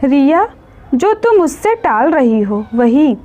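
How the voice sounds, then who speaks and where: A woman's voice: high voice, big pitch swings, slow pace, dark tone, full character voice. A woman voicing the sorrowful, lovelorn ghost of a dead husband in a story, wistful and tender. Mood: longing.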